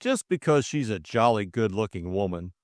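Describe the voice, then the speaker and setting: A man's voice: lowish voice, very animated, normal speed, a little mellow, dry recording, studio quality. A man voicing a hot-headed, loyal young upper-class Englishman in grumbling, earnest audiobook dialogue.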